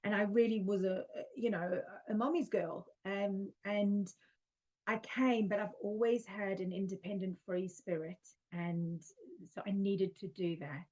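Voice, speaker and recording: A woman in her forties, originally from South Africa, speaks in a soft, heartfelt way. She circles around her points with fillers and restarts, at a middling pitch. The sound comes over a video call and is a touch dull and lo-fi. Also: fairly even intonation, a little slow. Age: 40s. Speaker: guest. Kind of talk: casual spiritual conversation.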